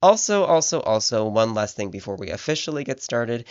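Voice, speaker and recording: A man's voice: mid-range voice, very animated, fairly quick, no echo, highs cut off. A man with a congested voice from a cold, reading a scripted book review in a chatty way with asides. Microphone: voice-over over gameplay footage.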